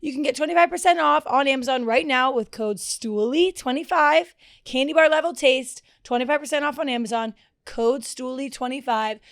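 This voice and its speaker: A chatty woman in her twenties co-hosts a podcast. She sounds eager and friendly. Her voice is a touch high. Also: normal speed. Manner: chatty, enthusiastic. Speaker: podcast co-host. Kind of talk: casual podcast chat and host-read ad.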